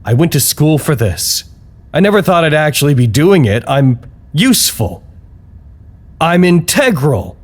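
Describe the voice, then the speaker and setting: An actor playing an anxious, earnest office worker who records wry, reflective, halting confessional reports in an audio drama. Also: male voice, fairly high pitch, sing-song. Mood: proud, uneasy. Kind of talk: audio drama monologue, intimate log narration.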